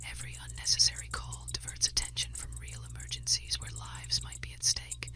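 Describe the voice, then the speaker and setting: A woman whispers a scripted ASMR bedtime narration right up close to the microphone. Her delivery is soothing and measured, staying hushed and breathy from phrase to phrase. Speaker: ASMR host.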